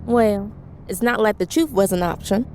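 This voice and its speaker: A woman's voice: mid-range voice, moderate pace, a little mellow, a little hiss or hum, lightly acted. An actress playing a firm, defiant woman who leads a wartime team in a pulp adventure drama. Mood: frank. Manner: firm, confident. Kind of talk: pulp adventure drama acting, some narration.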